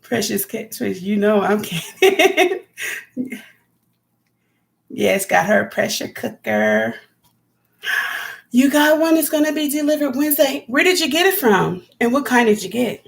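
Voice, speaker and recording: A woman with a raspy voice chatting casually on a live stream as a homesteading vlogger, rambling and talking to viewers by name. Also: a little high, lively intonation, unhurried, pauses often. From California.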